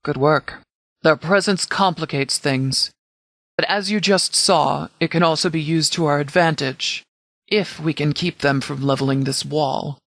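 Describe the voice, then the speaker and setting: A man playing a stern, composed sheriff in a video game, speaking with formal, clipped military authority in a slightly higher voice. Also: measured pace, vocal fry, fairly bright tone, dry recording. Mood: businesslike. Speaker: commanding officer. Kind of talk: scripted video game dialogue.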